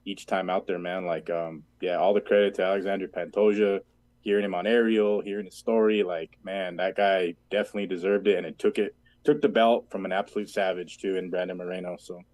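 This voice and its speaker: A man's voice: deep voice, steady tone, a little fast, darkish tone. A man co-hosting a fight podcast, talking in loose, rambling sentences full of fillers. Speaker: podcast co-host. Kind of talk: casual podcast chat.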